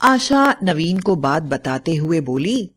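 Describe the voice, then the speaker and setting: A woman's voice: low-pitched, big pitch swings, takes it slowly, husky. A woman narrator reading a scripted bedtime story for children.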